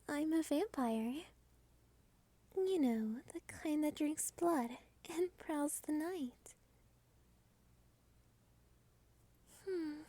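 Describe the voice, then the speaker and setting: A woman voicing a cute, dominant female vampire in an ASMR roleplay, soft-spoken, polite and teasing with quiet possessiveness. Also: high-pitched, dry recording. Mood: teasing, confiding.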